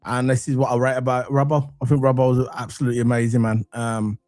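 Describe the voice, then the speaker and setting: A man hosts a relaxed live stream, his voice croaky from a cold. He drifts along with ums and restarts, talking straight to his chat, his pitch in an ordinary middle range, with faint music underneath. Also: fast talker. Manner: loose and chatty, many ums and restarts, addresses the chat. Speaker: football channel host. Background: soft background music.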